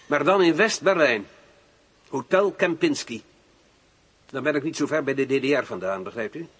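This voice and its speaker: An actor playing a wary, suave general in a radio drama, speaking in a theatrical, insinuating way. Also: male voice, medium pitch, lively intonation, normal speed, a bit bright, breathy edge. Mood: cunning, businesslike. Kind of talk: Dutch radio drama acting. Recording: noisy old radio drama recording.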